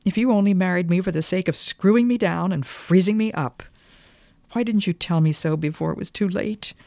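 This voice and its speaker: A woman's voice: low-pitched, lively intonation, moderate pace, darkish tone, no room sound, studio quality. A woman voicing a passionate, wounded young wife in an old-fashioned storybook, with emphatic, pleading delivery. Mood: indignant, passionate.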